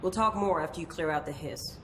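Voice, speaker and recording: An actress playing a stern, guarded ranger commander in a video game, speaking firmly and to the point. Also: female voice, fairly low pitch, a little fast, a bit bright, slight background noise, close to an everyday voice. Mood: brisk.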